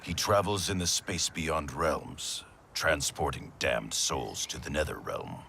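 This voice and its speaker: A man playing a grave, ominous warrior with a gravelly voice in a video game cutscene. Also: a bass voice, very animated, a little slow, pushed and bright, a little hiss or hum, heavily acted.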